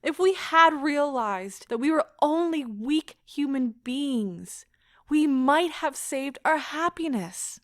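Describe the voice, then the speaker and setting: A woman playing an anguished, pleading lover in a stage-style audio drama, with a theatrical, impassioned and deliberate delivery. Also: high voice, animated, no room sound, clean studio sound. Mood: despairing. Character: anguished, pleading woman leaving a broken love affair.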